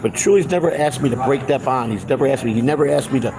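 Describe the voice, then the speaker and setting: A man's voice: medium pitch, fast talker, crowd noise. A man in his fifties hosting a casual, rambling live stream chat, often repeating himself for emphasis. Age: 50s.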